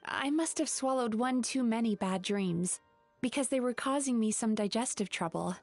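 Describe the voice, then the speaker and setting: A woman's voice: on the high side, normal speed, a little mellow, soft background music. A woman voicing a polite, earnest young female dream-eating spirit in a video game. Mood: explaining.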